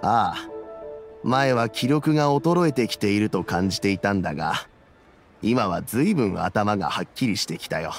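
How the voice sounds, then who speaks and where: Casting: kindly, gruff old man for a game, low pitch, patient and reflective, music behind. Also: lively intonation. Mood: grateful, subdued. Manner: old-fashioned elderly phrasing, slow and admonishing. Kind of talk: Japanese game voice acting.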